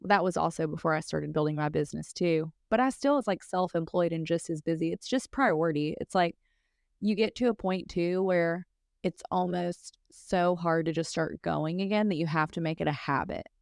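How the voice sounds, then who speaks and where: A woman's voice: on the low side, moderate pace, gravelly, darkish tone, no room sound, studio quality. A woman in her thirties co-hosting a podcast, talking in a candid, casual way with lots of fillers and bits of laughter. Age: 30s. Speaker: podcast co-host. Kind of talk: casual chat.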